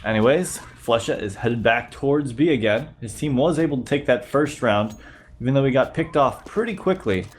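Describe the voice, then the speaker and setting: A man's voice: medium pitch, moderate pace, slight background noise. A sick man with a slightly nasal voice, casually narrating gameplay footage as a channel host. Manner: relaxed play-by-play narration. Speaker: YouTube host reviewing gameplay.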